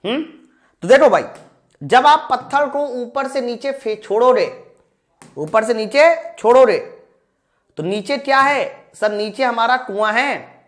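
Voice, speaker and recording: High-pitched woman teaching. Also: lively intonation, unhurried, long pauses. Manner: asks questions and answers them herself, repeats key lines; informal, addresses students directly. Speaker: physics teacher for exam preparation. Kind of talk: live online class, explaining problems step by step.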